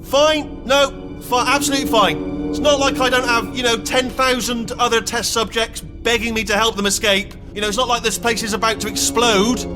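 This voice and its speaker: An actor voicing a chatty, panicky, bumbling robot in a video game, with a British accent and a rambling, stammering delivery that keeps backtracking. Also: male voice, high-pitched, lightly acted. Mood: impatient, pleading. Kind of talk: comic video game character acting.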